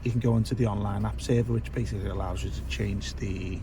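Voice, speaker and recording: A man with a slightly croaky, worn voice talks through a car stereo system, relaxed and full of "uh"s and "basically". He sits inside a car and films on a phone, with clear noise from the vehicle all around him. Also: fairly low pitch, fast. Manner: relaxed, filler-heavy explaining. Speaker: car audio installer. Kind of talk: casual product walkthrough.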